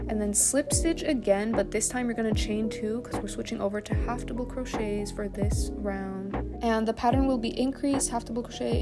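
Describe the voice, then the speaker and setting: A woman narrating a step-by-step craft tutorial, often counting aloud, as its host. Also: fairly high pitch. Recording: soft music bed under voice. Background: background music.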